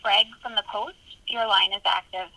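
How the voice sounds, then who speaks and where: A woman's voice: mid-range voice, steady tone, normal speed, sharp tone. A woman acting as a conference call operator, giving short scripted announcements over a telephone line.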